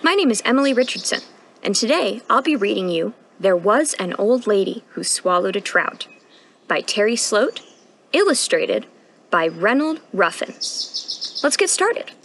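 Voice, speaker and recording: Young female storytime reader, lively pitch swings, sing-song rhythm, outdoor recording with audible ambient noise. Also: normal speed. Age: young adult. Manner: expressive, sing-song rhyming delivery with emphatic punchlines. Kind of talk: read-aloud children's picture book storytime. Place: outdoors on a wooden porch.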